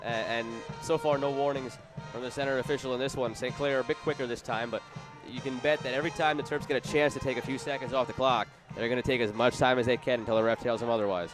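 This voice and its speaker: A man works as color commentator on a live soccer radio broadcast, talking quickly in a mid-range voice. He explains the play in a conversational way, with clear outdoor stadium sound behind him. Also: mid-range voice, fairly even intonation, music in the background, low-quality recording.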